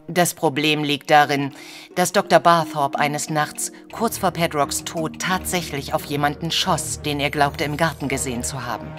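Schauspielerin als tief sprechende viktorianische Dame. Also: female voice, deep voice, steady tone, music in the background, natural voice. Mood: worried, earnest. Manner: formal, measured storytelling. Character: proper, discreet Victorian married lady, troubled client. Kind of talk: German audio drama acting.

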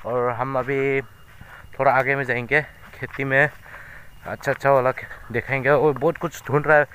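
A young man from Meghalaya with a Northeast Indian accent, explaining things casually in a halting, repetitive way for an outdoor vlog. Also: medium pitch, level tone, fairly bright tone, a little hiss or hum, poor audio. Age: young adult. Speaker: vlogger. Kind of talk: casual walk-and-talk vlog. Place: outdoors in open rice fields.

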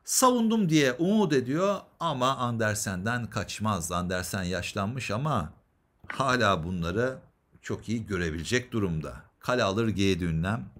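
Animated man explaining chess moves. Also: on the low side. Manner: explanatory, addresses viewers with rhetorical questions. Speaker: chess instructor, commentator. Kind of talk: chess game analysis commentary.

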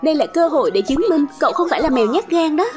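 A woman's voice: high voice, lively intonation, rapid-fire. A woman voicing a bold, reckless young cartoon character who is eager and teasing. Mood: coaxing. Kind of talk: Vietnamese cartoon dub. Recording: music playing under voice.

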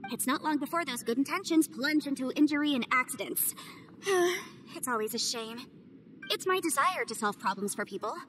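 A woman voicing an earnest, gentle young female legal advisor in a video game. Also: high-pitched, lively intonation, normal speed, a little hiss or hum.

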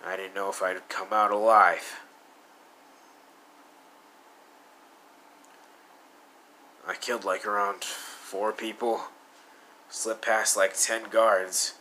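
A man voicing a possessive young male villain in a fan audio roleplay, speaking softly and drawling, both teasing and worried. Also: lowish voice, level tone, measured pace, a little hiss or hum. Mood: stern, worried.

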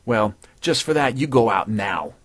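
A man voicing a blustering, nervous small-time male gangster, snarling in a lowered voice. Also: expressive, unhurried, dry recording.

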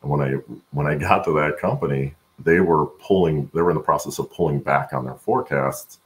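A middle-aged man with an extremely low, gravelly voice. He talks as an expert guest on a video call, explaining things step by step with frequent hesitations. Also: a little fast. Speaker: guest, supply chain expert. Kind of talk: interview answers, explaining work practice. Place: room at home, on a video call.

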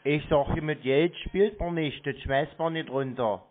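A man's voice: fairly high pitch, unhurried. A man chatting casually on the phone, playing naive, teasing and repeating his questions. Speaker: prank call-taker answering scam callers. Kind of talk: casual phone prank chat. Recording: narrow-band phone-quality call.

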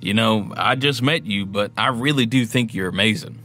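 An actor playing a loyal, earnest and courteous young soldier in an audio drama. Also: male voice, on the low side, normal speed, bright, forceful tone, soft background music. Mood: tender, smitten.

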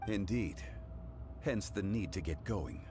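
An actor playing a calm, dignified immortal lord in human guise in a video game, composed, formal and understated. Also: male voice, low-pitched, slow, noisy background. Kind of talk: dramatic video game acting.

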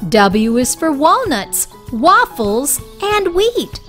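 A woman reading a picture book aloud for young children in a deliberate, expressive storytelling style. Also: high-pitched, soft background music.

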